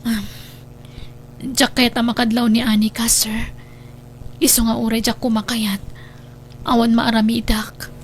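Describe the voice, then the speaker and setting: A woman acting a troubled, hesitant young woman in a radio drama, speaking in a halting, shy way. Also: fairly high pitch, level tone, slight background noise, lightly acted. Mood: nervous, reluctant. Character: hesitant, troubled young woman secretly courted by friend's father.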